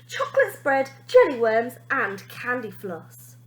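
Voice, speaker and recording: A bouncy, mischievous little storybook boy, acted by a woman reader, boastful and excitable. The voice is high and swoops with emphasis. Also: slow pace, a little hiss or hum, full character voice. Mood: proud, beaming.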